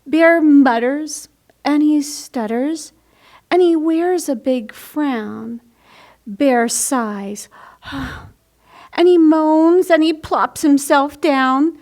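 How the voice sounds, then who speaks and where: A woman's voice: high voice, extremely slow, darkish tone. A woman hosting a children's storytime, speaking simply and carefully as she reads and explains. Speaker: library storytime host. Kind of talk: children's picture-book reading and craft instruction.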